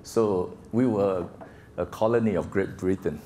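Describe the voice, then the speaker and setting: A Singaporean man in his sixties giving a slide presentation to a group, speaking in a halting, gently humorous way. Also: low-pitched. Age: 60s. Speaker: guest speaker. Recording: echoey room with faint background noise.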